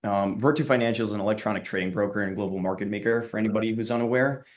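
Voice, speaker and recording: A young man from Long Island, New York, introducing himself as a student panelist on a video call, relaxed with many fillers. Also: lowish voice, very fast. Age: young adult.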